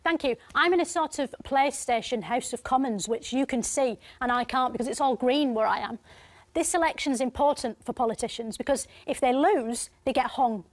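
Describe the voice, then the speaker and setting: A woman acts out a deadpan, clueless woman reporter in a televised comedy sketch, explaining everything with total sincerity and no sense at all. Her voice sits high for a woman and sounds very dry and close, with no room echo. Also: normal speed, lightly acted. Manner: deadpan, naive, flat delivery. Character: dim, earnest woman reporter, deadpan and naive. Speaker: comic presenter character. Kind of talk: scripted comedy piece to camera. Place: green-screen studio.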